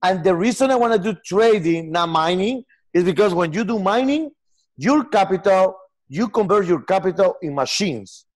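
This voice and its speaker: A man in his forties from Peru, speaking English with a Peruvian Spanish accent, earnest and halting in a casual video-call interview. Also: high-pitched, animated. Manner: earnest, gestures a lot, halting non-native phrasing. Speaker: software company founder. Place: home room with lamp and armchair.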